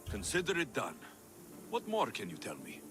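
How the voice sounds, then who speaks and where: An actor playing a confident mercenary hero of ancient Greece in a video game, with a dry, sardonic, businesslike manner. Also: male voice, mid-range voice, huge pitch swings, normal speed, background noise. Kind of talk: video game dialogue acting.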